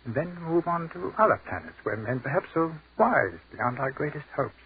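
An educated, dreamy army captain. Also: male voice, on the high side, big pitch swings, normal speed, heavily acted. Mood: measured. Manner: crisp, articulate, lyrical speech. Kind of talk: 1940s radio acting. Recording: tinny, hissy 1940s radio broadcast.

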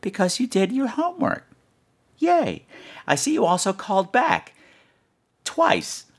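A man's voice: sits high, huge pitch swings, a little slow, slightly rough. A man hosting a casual comedy podcast in a playful, campy manner with theatrical flourishes. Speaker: podcast host.

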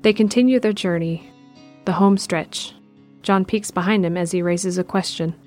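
A woman's voice: lowish voice, fairly even intonation, moderate pace, darkish tone. A woman reading scripted narration for an audio drama. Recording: music behind the voice.

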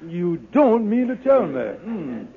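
A tinny, noisy vintage radio recording of a scheming landlord, pitched high with a gravelly creak. He blusters and wheedles in folksy, ungrammatical talk before a studio audience. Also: big pitch swings, slow, exaggerated voice. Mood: sly, smooth. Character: scheming, wheedling male con-man landlord in a radio comedy. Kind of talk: 1950s radio comedy acting.

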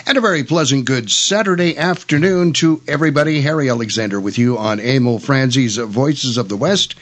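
A man's voice: mid-range voice, a little fast, fairly bright tone. An older man hosting a radio show in a casual, chatty and folksy, easygoing way. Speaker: radio host. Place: radio studio.

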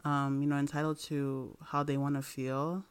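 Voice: medium pitch, flat delivery, moderate pace, clear, resonant tone. A young podcast co-host from Nigeria, still in school, asking questions in a friendly way and searching for words. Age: young adult. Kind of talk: casual interview chat.

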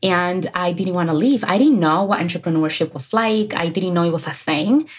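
An energetic woman in her thirties from the Dominican Republic, speaking with a Dominican Spanish accent as a guest on an interview podcast, who laughs often. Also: lowish voice, moderate pace, husky. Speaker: guest, career coach. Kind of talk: casual interview chat.

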